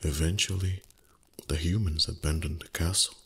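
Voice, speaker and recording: A man voicing a proud, greedy, centuries-old dragon in an ASMR roleplay, speaking in a husky, breathy voice with slow, deliberate, haughty delivery that savours the words. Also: deep voice, fairly even intonation, a bit bright, a little hiss or hum. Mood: languid, proud.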